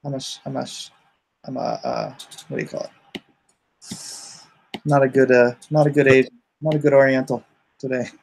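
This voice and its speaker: Male livestream host, mid-pitched with noticeable fry, casual and halting delivery. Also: little pitch movement, a little hiss or hum, poor audio. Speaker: comic artist and livestream host.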